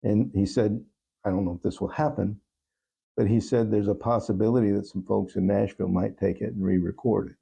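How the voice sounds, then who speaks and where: Deep, gravelly, mellow-voiced man. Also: fairly even intonation, fairly quick, lo-fi sound. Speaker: talk show guest. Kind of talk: reflective interview answers.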